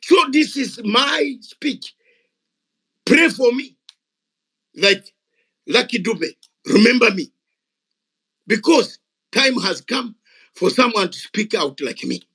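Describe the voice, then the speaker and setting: A man's voice: very high-pitched, sing-song, slow, pauses often, pushed and bright, breathy edge. An older man speaking Ugandan English, giving an impassioned, unscripted speech at a gathering. He is fiery and emphatic, repeating words for force. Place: gathering of activists.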